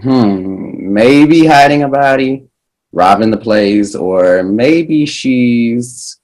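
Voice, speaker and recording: A man playing a cocky teenage boy, slow and animated. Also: mid-range voice, rough recording. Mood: scheming. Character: Junior, cocky mischievous smirking teenage boy. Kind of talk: table read of a pilot script.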